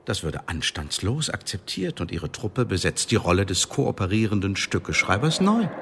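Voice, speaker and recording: Cold mocking spy tribunal boss, lowish voice that swings around a lot, big echoey hall. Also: male voice, big pitch swings, moderate pace. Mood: coldly formal. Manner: sardonic, officious, commanding. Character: cold, mocking male secret service tribunal chief. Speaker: actor in a radio play. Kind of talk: German radio drama acting. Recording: echoey hall, clear background noise.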